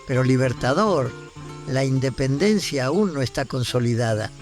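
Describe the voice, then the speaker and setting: An actor playing an anxious, deferential government minister in a historical audio drama, formal and respectful. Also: male voice, mid-range voice, animated, moderate pace, soft background music, close to an everyday voice. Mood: eager, concerned.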